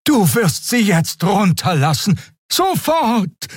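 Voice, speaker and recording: A man voicing a slow-spoken female horned creature in an audiobook, alarmed but firm. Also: high voice, sing-song, breathy edge, no room sound, clean studio sound, voice pushed up, full character voice. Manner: sluggish, commanding. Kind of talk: dramatic audiobook character voice.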